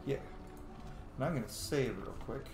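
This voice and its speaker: A man's voice: mid-range voice, moderate pace, over music. A man giving casual gameplay commentary, muttering and thinking aloud to himself as he plays a role-playing game. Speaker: gamer playing a role-playing game on camera or stream. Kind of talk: casual gameplay commentary mixed with reading dialogue choices. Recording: roomy echo, faint background noise.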